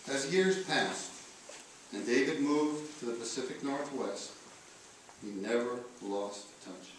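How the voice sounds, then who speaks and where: A man of middling pitch delivers a solemn eulogy from a written text. His phrasing is slow and deliberate, with pauses between short phrases. He is heard at a distance from the microphone in a very reverberant room. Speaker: eulogist reading letters at a memorial. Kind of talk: solemn read-aloud eulogy. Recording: echoey room, distant mic, faint noise.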